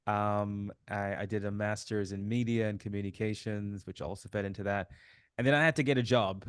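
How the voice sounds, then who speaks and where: A man in his fifties, originally from Sri Lanka, telling his life story as an interview guest, with relaxed fillers. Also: on the low side, fairly quick, smooth, dry recording, clean studio sound. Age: 50s. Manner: warm storytelling, reflective, many fillers. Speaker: guest, author. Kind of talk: casual interview chat.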